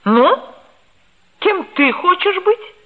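Stern female teacher: unhurried, didactic, theatrical, extremely animated pitch, vintage radio drama. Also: exaggerated voice. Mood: prodding. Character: stern, pompous female schoolteacher, moralizing. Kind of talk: 1960s radio play acting. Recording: tinny vintage 1960s radio recording.

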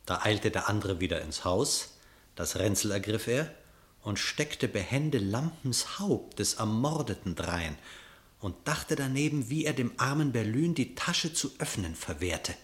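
A man's voice: lowish voice, animated, unhurried. A man playing the narrator of an animal fable, reciting verse narration in a radio play. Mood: narrating.

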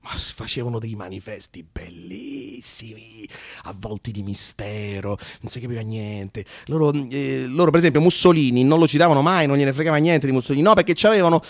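A man narrates a comic radio monologue in a medium-pitched voice, recorded close and dry. He performs theatrically, with halting repetitions, and slips into bits of acted dialogue. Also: no room sound. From Rome, Italy. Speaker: actor and narrator.

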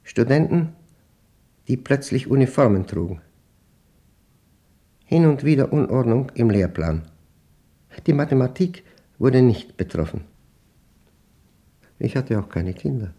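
Dark-voiced brooding scientist. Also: male voice, mid-range voice, expressive. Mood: brooding, reflective. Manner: terse, deliberate, measured phrasing in short fragments. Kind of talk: dramatic monologue in a German radio play.

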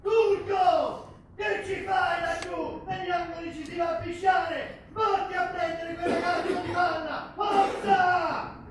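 A man's voice: very high-pitched, little pitch movement, slow pace, a faint crowd. An actor playing a coarse, hard-driving rugby coach who harangues his team in a theatrical, foul-mouthed way. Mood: barking, exasperated. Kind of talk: theatrical stage acting. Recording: distant, very echoey, faintly noisy stage recording.

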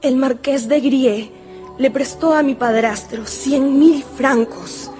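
A woman's voice: high voice, slow, a little mellow, soft background music. An actress playing an anxious, trembling young woman in love, urgent and tremulous, in a radio drama.

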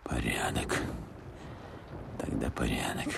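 An actor playing a gruff, wary Soviet army captain who gives terse, authoritative, secretive orders in a war radio drama. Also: male voice, a bass voice, fairly even intonation, slow, very noisy, exaggerated voice. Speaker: commanding officer.

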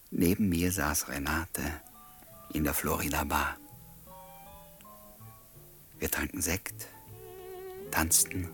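A man playing a bold but polite young suitor who also narrates his story in a German radio play. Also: very deep voice. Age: young adult. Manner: courteous, provocative, crisp.

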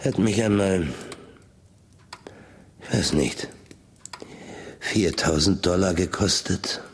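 A man's voice: deep voice, takes it slowly, slight background noise. An actor playing a rich, brooding older man in a radio drama monologue, musing philosophically and ponderously, at times dropping toward a whisper. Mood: wistful, resigned.

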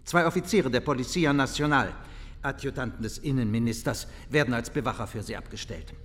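A man plays a formal, authoritative superior in a radio play. His mid-pitched voice gives official instructions and rings with long hall reverb. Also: fairly quick, a bit bright, slight background noise, close to an everyday voice. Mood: firm, official. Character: authoritative male superior briefing a subordinate. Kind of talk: radio drama acting.

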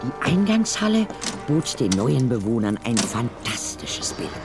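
Storybook narrator guy, lively intonation, music behind, scripted audio play. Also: mid-range voice, a little slow. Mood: atmospheric. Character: storybook narrator of a ghost tale.

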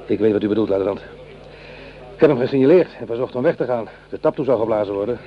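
A man's voice: fairly low pitch, fast, close to an everyday voice. A man acting a strict, short-tempered army corporal in a radio drama, with clipped military speech. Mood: deferential. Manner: barking, clipped military commands. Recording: dull, noisy old radio play recording.

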